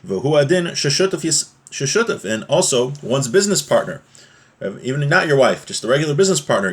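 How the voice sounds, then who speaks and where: A man's voice: mid-range voice, slightly rough. Man plainly explaining a religious text. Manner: informal, plain-spoken explaining with everyday examples. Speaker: religious teacher.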